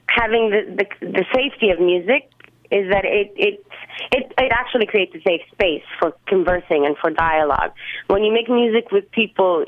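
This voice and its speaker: A woman's voice: medium pitch, no echo. A woman musician being interviewed, speaking earnestly and often restarting as she searches for words. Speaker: musician guest. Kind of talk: interview over the phone. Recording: tinny phone line.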